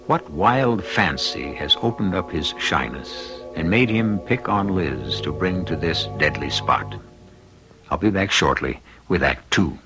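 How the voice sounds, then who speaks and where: Deep-voiced male mystery narrator over music. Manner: measured, theatrical, ominous. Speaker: host and narrator of a mystery radio show. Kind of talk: dramatic scripted narration, old-time radio. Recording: vintage radio recording. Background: dramatic music.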